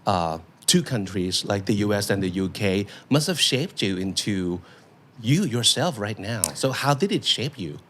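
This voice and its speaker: Low-voiced male interviewer. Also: animated, a little slow, a bit bright, slight background noise. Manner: short backchannel replies and follow-up questions. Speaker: interviewer, host. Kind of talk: conversational interview.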